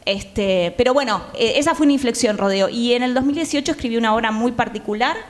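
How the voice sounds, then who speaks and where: A woman with a Rioplatense Argentine accent speaking reflectively as a panel guest, often pausing to find words. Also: fairly high pitch. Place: theatre, live conversation.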